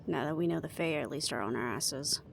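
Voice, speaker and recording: A woman's voice: deep voice, brisk, creaky, slight background noise. A woman playing a guarded, weary young team leader in a fantasy audio roleplay, with a dry, casual delivery. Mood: businesslike.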